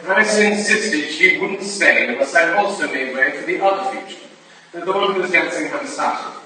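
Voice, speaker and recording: A man's voice: on the high side, normal speed, crowd noise, lightly acted. A man playing a boastful, drunken upper-class English rake in a staged period drama, with a breezy, confiding swagger. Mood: self-important. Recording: echoey room from far off, noisy.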